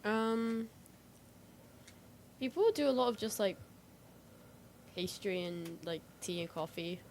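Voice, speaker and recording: A woman's voice: medium pitch, lively intonation, takes it slowly, gravelly, a little mellow. Hesitant young-sounding female co-host with faint noise. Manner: hesitant, frequent "like" hedging. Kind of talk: casual chat.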